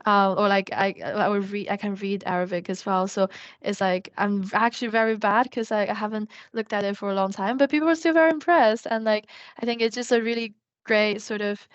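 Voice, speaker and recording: A young woman chats casually as a podcast guest. Her speech rambles with lots of "like" and "um" fillers at a middle pitch. The recording is dull and dry, with no room sound. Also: steady tone, a little fast, dry recording. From China. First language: Mandarin. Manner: rambling, heavy use of "like" and "um".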